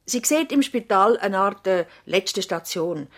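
Radio drama: sensible medical assistant, Swiss accent, medium pitch, composed and practical. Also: female voice, animated, unhurried. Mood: calm, concerned. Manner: matter-of-fact, reasoning. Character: sensible, composed female doctor's assistant nurse. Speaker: actor playing a nurse. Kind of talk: German-language radio drama acting.

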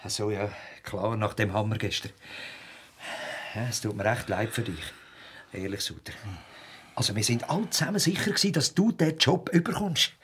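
A husky, animated office gossip with a Swiss accent in a radio play. He rambles on jovially and mockingly, his pitch swinging freely. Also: moderate pace, pauses often, exaggerated voice. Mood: chummy, sympathetic. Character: chatty, gossiping, sarcastic male office colleague. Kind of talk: radio drama acting in casual chat.

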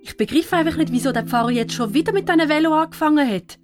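A woman as an exasperated, high-pitched Swiss German mum. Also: fast, over music, natural voice. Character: exasperated, complaining mother. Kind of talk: audio drama acting for children.

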